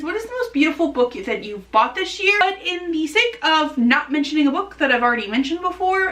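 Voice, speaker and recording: Woman book vlogger, really high voice, chatty and keen, sounds echoey and a bit far from the mic. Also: pushed and bright. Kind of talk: casual book review chat to camera. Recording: roomy echo, distant from mic.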